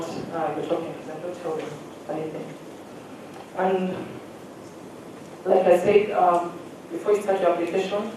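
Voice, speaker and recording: An education adviser gives direct, instructive advice to students, checking now and then that the audience is following. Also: mid-range voice. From Uganda. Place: large hall at a careers fair. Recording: echoey hall, distant mic, faint hum.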